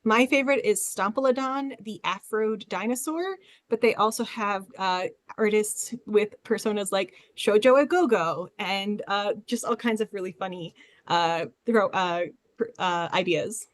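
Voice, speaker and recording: A woman's voice: mid-range voice, a little slow. A woman who is a librarian giving an informal presentation as a panelist on a webinar.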